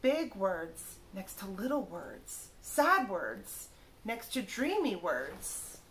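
A woman reads a picture book aloud for children at a very unhurried pace. Her pitch is middling but moves around a lot, and she draws out key words with care. There is faint noise behind her in a home room. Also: sing-song, fairly bright tone, poor audio. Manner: careful, drawn-out word-by-word emphasis. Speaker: teacher reading aloud to children. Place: small living room, indoors.

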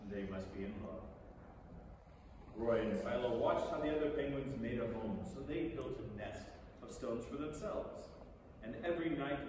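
A middle-aged man reading a storybook aloud on stage in an expressive, theatrical way. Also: mid-range voice. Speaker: stage reader. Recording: echoey theatre from far off, noisy.

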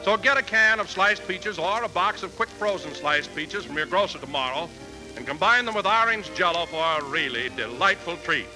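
A man's voice: high voice, lively intonation, moderate pace, poor audio. A man announcing on 1940s radio with enthusiastic sales patter. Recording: vintage radio broadcast, music and audience behind.

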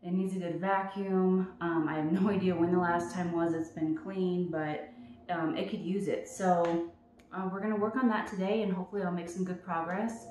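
A woman in her thirties talks easily through her cleaning day, dropping ums as she goes. Her voice sits a little low, picked up by a camera mic across a bare room. The room rings with echo, and faint noise sits underneath. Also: normal speed. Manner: chatty, relaxed, frequent ums. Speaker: vlogger. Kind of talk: casual home cleaning vlog. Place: small bedroom studio, indoors.

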